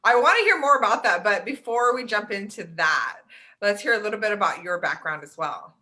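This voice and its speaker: A woman's voice: mid-range voice, lively intonation, a little fast, sharp tone. Upbeat, chatty woman host. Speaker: podcast co-host. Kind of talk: hosting an interview.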